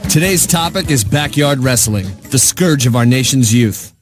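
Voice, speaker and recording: An actor playing a glib, mock-earnest daytime talk show host in a video game, presenting theatrically. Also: male voice, medium pitch, expressive, moderate pace, natural voice. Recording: music playing behind. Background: music.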